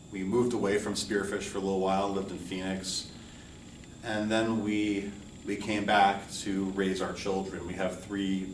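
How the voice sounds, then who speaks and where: A middle-aged man with a low voice gives an informal class introduction in a measured, earnest way. He sounds some distance from the microphone in a roomy space with audible echo. He speaks as a college instructor addressing students. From United States, New York City and Hawaii. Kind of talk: informal course welcome lecture. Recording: roomy echo, distant mic, faint noise.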